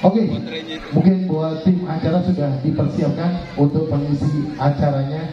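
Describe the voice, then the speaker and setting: A man's voice: fairly high pitch, level tone, moderate pace, over music. A middle-aged man working as the MC at a night event, announcing the program in a loose, chatty way through a handheld microphone. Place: outdoor stage at night. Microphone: handheld mic over PA. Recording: distant, echoey PA, lo-fi camera.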